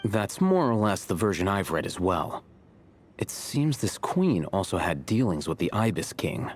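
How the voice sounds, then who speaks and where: A man voicing a composed, grave male scholar in a video game, measured and level-headed. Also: deep voice, very animated, soft background music, heavily acted. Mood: calm. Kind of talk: animated video game voice acting.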